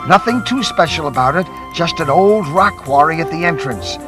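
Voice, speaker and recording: An actor playing an excitable old grandpa who tells adventure tales dramatically in a children's show. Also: male voice, a little high, normal speed, natural voice. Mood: suspenseful. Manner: dramatic, animated. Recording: distorted old VHS transfer under loud music.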